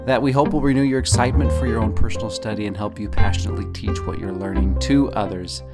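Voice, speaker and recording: A man's voice: on the low side, fairly even intonation, fast, music in the background. A man in his thirties hosting a casual scripture podcast with his wife, sometimes joking in a mock radio-announcer way. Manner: playful, mock radio-announcer delivery at times. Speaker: podcast host.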